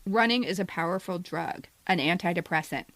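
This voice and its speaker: A woman's voice: fairly low pitch, a little fast. A woman in her fifties reading a scripted personal essay as a podcast co-host, with a candid, wry, self-deprecating manner. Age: 50s.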